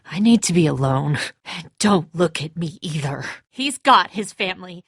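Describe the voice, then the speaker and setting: An actress playing a bitter, distraught, hot-tempered grown daughter in a dramatic video game, raw and confrontational. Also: female voice, on the low side, animated, moderate pace, slightly rough, dry recording, studio quality. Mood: bitter grief. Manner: raw, emotional, confrontational, sweary.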